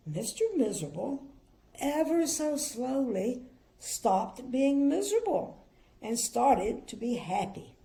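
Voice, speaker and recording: An older woman reads a picture book to children in a mellow, slightly high voice with a creaky edge. She moves between expressive storytelling and casual, opinionated asides, with faint noise in the recording. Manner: chatty, gently opinionated commentary. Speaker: grandmother reading bedtime stories.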